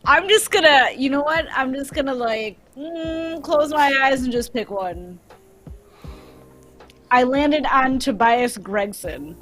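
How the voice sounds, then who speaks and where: A woman's voice: sits high, slow pace, a bit bright, faint music behind. A young adult woman chatting casually as a contestant in an online group trivia stream. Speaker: quiz contestant. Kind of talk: casual chat. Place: online group livestream call.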